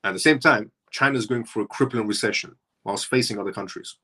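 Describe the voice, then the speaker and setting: Husky man musing in a livestream. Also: on the low side, fairly quick, bright, forceful tone. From Iran. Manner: rambling, repeats his points. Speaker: live show host. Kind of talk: casual livestream commentary.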